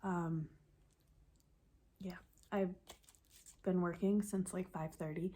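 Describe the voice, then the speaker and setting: A woman's voice: lowish voice, fairly even intonation, slow pace, dark tone. A woman vlogging casually about knitting, sniffly from the cold, chatting in a rambling way that corrects itself as she goes. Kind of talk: casual chat to camera.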